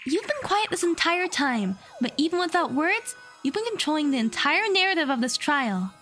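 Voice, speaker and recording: A woman's voice: high voice, sing-song, normal speed, fairly bright tone, soft background music. A woman playing an earnest young student in an audio drama, reasoning insistently and emphatically. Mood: resolved, deducing. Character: earnest young female student defending the accused.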